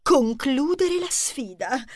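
Woman as vain mouse movie star, children's audio story: high, whiny, overdramatic. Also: very animated, slow pace, no echo, studio quality, heavily acted. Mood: distraught, dramatic. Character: melodramatic vain female mouse film star.

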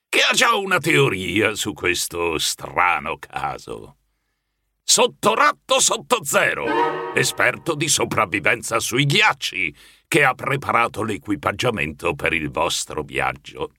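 An actor playing a bossy old grandfather rat, proud and pompous, with a gravelly, husky voice in a children's audio story. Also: mid-range voice, sharp tone, no echo, studio quality, heavily acted. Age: older. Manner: solemn, pompous, commanding.

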